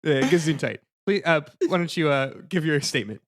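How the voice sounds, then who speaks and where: A man moderating a comedy debate podcast, bantering in a halting, mock-earnest way as if he were the boss. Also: a little high, expressive. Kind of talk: improvised comic banter in role as a boss.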